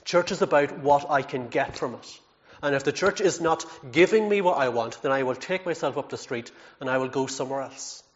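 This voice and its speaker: An earnest man delivering a sermon at a middle pitch, emphatic and heartfelt. He often repeats words as he builds his points, with a wry self-deprecating aside now and then. The recording sounds a little dull and lo-fi. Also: brisk. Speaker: preacher.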